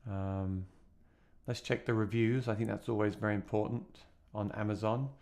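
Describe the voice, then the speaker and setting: A man with a deep voice, a gigging musician, chatting plainly through a gear review. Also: fairly even intonation, normal speed, a little mellow, no echo.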